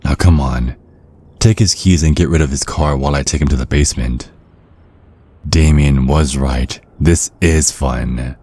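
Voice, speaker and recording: A man acting a menacing, courteous old vampire father in an ASMR roleplay, speaking in measured, slyly threatening tones. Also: a bass voice, dark tone, breathy edge, heavily acted. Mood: coldly gloating. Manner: measured, veiled threats under courteous small talk. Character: menacing ancient vampire father, polite, sly and controlling.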